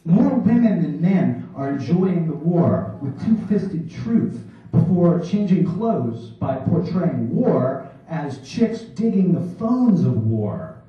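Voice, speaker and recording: A man performs poetry on stage in a declamatory, mock-serious way, his fairly high voice swinging widely in pitch. The sound is distant and very echoey, like a theater captured from the seats. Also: sing-song, slow pace, hardly any pauses. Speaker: poet reading on stage. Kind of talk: theatrical live poetry reading. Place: small theater in New York, before a laughing audience. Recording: echoey hall, distant dull microphone.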